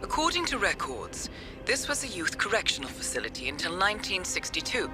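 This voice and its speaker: A poised female intelligence handler from a video game. She briefs her assassin in precise, measured phrases with a lively, varied intonation, over a musical score. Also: fairly low pitch, over music. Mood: composed, informative.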